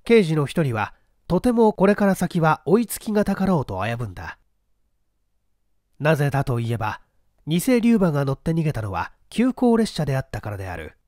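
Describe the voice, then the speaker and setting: A man's voice: on the high side, big pitch swings, fairly quick, darkish tone, dry recording, studio quality. A man reading a novel aloud as a dramatic scripted narration. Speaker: audiobook narrator.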